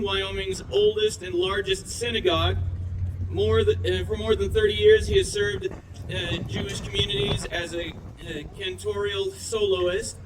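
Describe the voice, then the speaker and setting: A man acting as master of ceremonies, reading a prepared introduction aloud at an outdoor event. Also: high voice. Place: outdoors at a downtown festival. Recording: outdoor PA with faint traffic noise.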